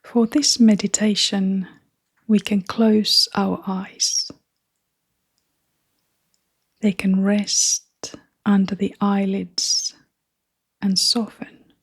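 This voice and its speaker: A woman leading a guided meditation in a soft, half-whispered, breathy voice, with gentle, unhurried phrasing. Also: mid-range voice, flat delivery.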